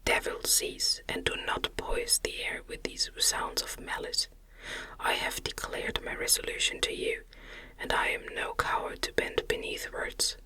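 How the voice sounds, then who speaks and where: A narrator voicing a defiant, resolute man facing his monstrous creation in a gothic story, with firm, indignant, formal speech in a breathy ASMR whisper. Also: unhurried, sharp tone.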